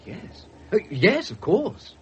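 An actor with an English accent playing an earnest, slightly awkward young police detective in a radio drama. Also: male voice, high voice, huge pitch swings, slow pace, muffled recording, slight background noise, heavily acted. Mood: awkward, eager. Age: young adult. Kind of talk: 1970s British radio drama acting and narration.

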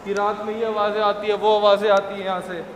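A man's voice: high-pitched, little pitch movement, fast. A man, co-hosting a vlog in casual, matter-of-fact colloquial talk. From India. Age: 20s. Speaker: co-host of a haunted-location exploration vlog. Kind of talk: casual vlog chat, adding to the explanation. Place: large derelict factory and overgrown grounds at night. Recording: echoey derelict building with clear background noise.

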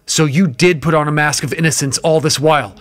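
A man's voice: fairly high pitch, fairly even intonation, a little fast, a bit bright, slight background noise, natural voice. A man playing an angry, petty boss and scornful ex-lover in an audio drama. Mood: furious, accusing.

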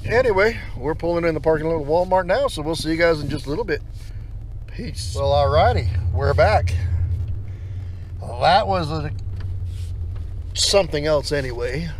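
A man's voice: fairly high pitch, expressive, moderate pace, pauses often. An American man in his thirties chatting casually and folksily to the camera as he drives his truck. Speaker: vlogger. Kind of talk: casual chat to camera while driving. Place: inside a pickup truck cab while driving. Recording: noisy car interior, engine and road noise.

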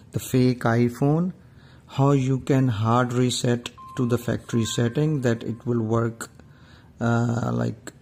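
Guy doing a casual how-to, kinda halting, slow pace, creaky fry in his voice. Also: medium pitch, darkish tone. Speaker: tech tutorial presenter. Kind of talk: informal step-by-step how-to narration.

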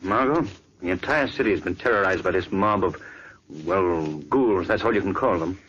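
An actor playing Lamont, a confident, composed gentleman sleuth with an assured manner, in 1940s radio acting. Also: sits low, moderate pace, over music, natural voice. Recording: dull old radio recording with hiss.

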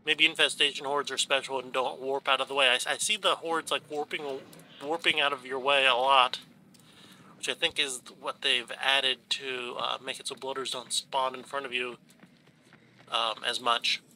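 A man's voice: mid-range voice, level tone, moderate pace, pushed and bright, slight background noise, rough recording. A man with a stuffed, runny nose giving casual commentary over his game footage, rambling along with many ums and restarts. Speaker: gaming YouTuber. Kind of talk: casual let's play commentary.

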